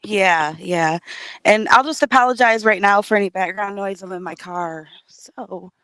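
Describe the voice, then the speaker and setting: A woman calling in from her car, hoarse from a sleepless night, giving updates with many fillers. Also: mid-range voice, a little slow, fairly bright tone, dry recording. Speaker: guest caller. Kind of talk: casual chat, giving updates.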